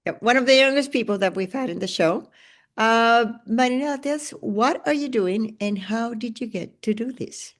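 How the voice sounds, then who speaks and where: A woman's voice: fairly high pitch, normal speed. A woman hosting a podcast, welcoming her guest and asking questions in a gracious manner. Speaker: podcast host. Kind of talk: podcast intro and interviewing.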